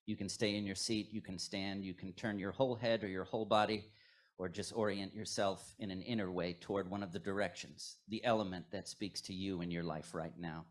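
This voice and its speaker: Low-voiced man leading a guided meditation. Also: steady tone, a little fast. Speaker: worship leader at a fellowship. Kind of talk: guided meditation sermon, scripted and poetic.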